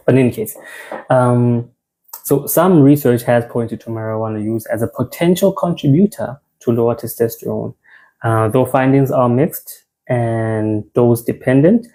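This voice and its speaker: A man's voice: mid-range voice, moderate pace, warm tone. A young South African man giving an informal men's health talk, conversational, repeating phrases and restarting words.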